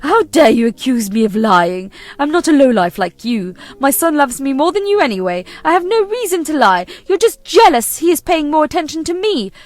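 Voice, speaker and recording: A woman putting on a shrill, whiny mother-in-law. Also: very animated, moderate pace, hardly any pauses, dry recording. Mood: shrill accusing. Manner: whiny, accusing, melodramatic.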